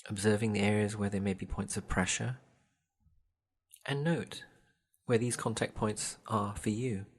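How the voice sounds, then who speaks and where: Low, slightly husky male meditation guide. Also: steady tone. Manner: gentle, soothing, measured instruction with long pauses. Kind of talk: guided body scan meditation.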